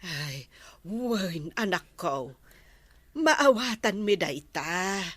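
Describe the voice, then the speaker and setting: A woman with an Ilocano accent playing a gentle, motherly role in a family comedy sketch. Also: on the low side, expressive, drawn-out words, husky, no room sound. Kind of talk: comedic family drama acting.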